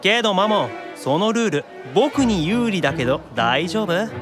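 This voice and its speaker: A man's voice: high voice, big pitch swings, moderate pace, music in the background. An actor playing a geeky, shy young male demon in an anime-style audio drama, needling and boyish, stammering when embarrassed. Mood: snarky, competitive.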